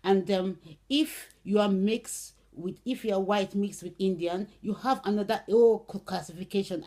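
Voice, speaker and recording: A middle-aged woman from Brazil, speaking casually to camera with many "um"s and "okay"s, in an unhurried way. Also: medium pitch, dark tone. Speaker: vlogger. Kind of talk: casual spoken commentary to camera.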